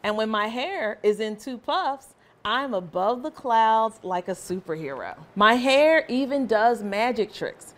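A woman voicing a proud, excitable little girl in a children's storybook, with expressive delivery. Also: a little high, a little slow. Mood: proud, excited. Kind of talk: children's storybook read-aloud.

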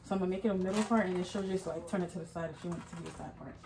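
A woman's voice: fairly low pitch, steady tone, rapid-fire, darkish tone, a little hiss or hum. A young adult woman who makes wigs, talking casually to her viewers as she vlogs her day on a phone held at arm's length, calling out to them over and over. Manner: chatty, keeps calling out to viewers with "y'all". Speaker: wig maker and vlogger. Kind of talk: casual vlog talk to camera. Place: home wig studio, then the gym, home and a mall at night. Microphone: phone held close at arm's length.

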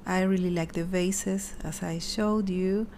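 A woman's voice: lowish voice, fairly even intonation, unhurried, a little hiss or hum. Chatty woman showing off decor finds. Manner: chatty and upbeat, lingering softly over each item. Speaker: home decor vlogger. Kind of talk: casual shopping haul chat. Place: home dining room, seated by a window.

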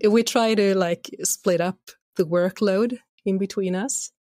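A woman's voice: mid-range voice, unhurried, mellow, no room sound, clean studio sound. A woman chatting casually and informally as an interview guest. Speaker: guest, audio drama writer and voice actor.

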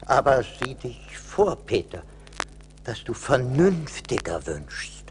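An actor playing a stern, wise little forest spirit in a radio play, admonishing in a deliberate manner. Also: male voice, medium pitch, sing-song. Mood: reproachful. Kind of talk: 1980s German radio play acting. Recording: noisy vintage LP radio play.

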